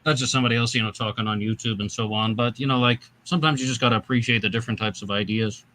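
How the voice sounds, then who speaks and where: A man co-hosts a casual chat over a video call, full of lively, rambling asides. He talks fast, and his voice is bright and forceful. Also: mid-range voice. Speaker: host.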